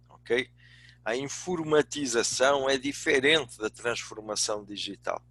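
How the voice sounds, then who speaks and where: An older man with a European Portuguese accent giving a semi-formal prepared talk, courteous, often halting and repeating words. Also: mid-range voice, a bit bright, no echo. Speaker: guest speaker, business executive and academic.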